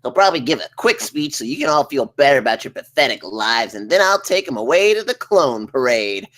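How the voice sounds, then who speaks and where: A man's voice: medium pitch, big pitch swings, a little fast, fairly bright tone. Man playing a bossy, swooping little ship officer. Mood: bossy, menacing. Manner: abrasive, theatrical, switching between barking and fawning. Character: bossy, crude, sycophantic short male ship officer. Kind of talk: comedy script table read.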